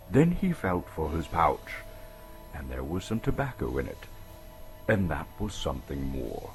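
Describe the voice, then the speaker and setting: Male narrator, low and unhurried, theatrical suspenseful storybook read, faint music underneath. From Canada. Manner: theatrical, suspenseful, emphatic pauses. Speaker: actor reading an audiobook.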